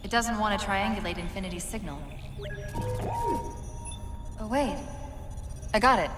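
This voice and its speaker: A woman's voice: mid-range voice, in a large hall, natural voice. A woman voicing a wry AI companion. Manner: brisk, explanatory, wry. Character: quick-witted female AI companion, confident. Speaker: game character. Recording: game audio with loud music behind.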